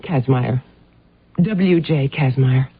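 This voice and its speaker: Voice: mid-range voice, slow pace, slightly rough, no echo, exaggerated voice. A performer playing a meek, evasive housewife in a radio drama, giving hesitant, guarded answers. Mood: uneasy, defensive. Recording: muffled lo-fi radio drama recording.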